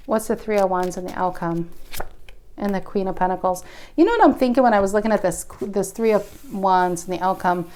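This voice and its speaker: A woman in her forties talks through a tarot spread, musing and intuitive. She thinks aloud as she goes, breaking off and restarting her sentences. Her voice sits at an ordinary middle pitch. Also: darkish tone. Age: 40s. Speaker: tarot reader. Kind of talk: casual spoken tarot reading.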